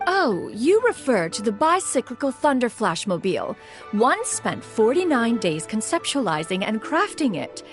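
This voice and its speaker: An ancient female immortal in a video game, haughty and formal. Her fairly high voice moves in lively arcs through pompous, archaic phrases, with faint music behind. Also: moderate pace. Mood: boastful, rueful. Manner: pompous, formal, archaic, says "one" for herself. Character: ancient proud female immortal bird adept, haughty but fond. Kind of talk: video game character acting.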